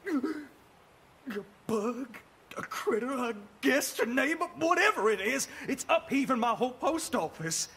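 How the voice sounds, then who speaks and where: Guy doing flustered folksy mailman toon, high scratchy voice, stammery, pitch all over. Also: animated, a little slow, fairly bright tone, breathy edge, full character voice. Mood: panicked. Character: anxious, folksy male puppet mailman, easily flustered. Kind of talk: cartoon character voice acting.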